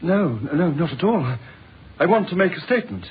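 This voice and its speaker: Stammering, distraught British husband. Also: male voice, a little high, big pitch swings, fairly quick, slightly rough, full character voice. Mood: distraught, agitated. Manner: stammering, agitated, broken phrasing. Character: distraught, confused husband confessing to a shooting. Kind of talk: old-fashioned British radio drama acting. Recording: dull, noisy old radio recording.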